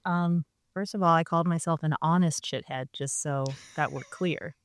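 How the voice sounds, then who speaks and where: A woman's voice: deep voice, moderate pace, dry recording, clean studio sound. A woman guest on an interview show, telling candid, self-deprecating stories and laughing often in casual conversation. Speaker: podcast guest, actor.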